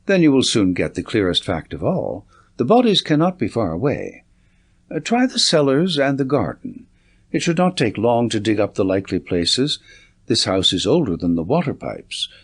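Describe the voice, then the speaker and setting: A man's voice: mid-range voice, wildly swooping pitch, normal speed, husky, heavily acted. A man voicing a confident, commanding detective in an audiobook, speaking in an assured, articulate, lecturing way. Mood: brisk, assured.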